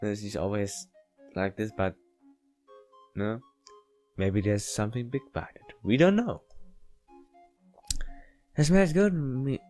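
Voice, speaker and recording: A man's voice: fairly low pitch, a little mellow, soft background music. A man doing a casual read-aloud of a visual novel, often stumbling and going back over lines. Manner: halting, stumbling and rereading lines. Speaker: let's player reading a visual novel aloud.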